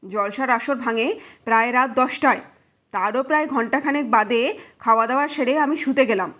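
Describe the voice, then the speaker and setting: A woman's voice: on the high side, normal speed, fairly bright tone, lightly acted. Woman as a shaken young man recounting horror. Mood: earnest. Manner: earnest, dramatic, suspenseful recounting. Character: shaken young brother-in-law recounting a ghostly night visitor.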